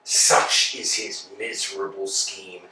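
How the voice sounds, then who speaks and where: An actor playing a pompous, domineering landowner in a staged play reading, swinging between grand bluster and hushed asides. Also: male voice, deep voice, level tone, slow, distant mic, exaggerated voice. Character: pompous domineering landowner, middle-aged man. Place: large room, performed on its feet. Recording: echoey room, from afar.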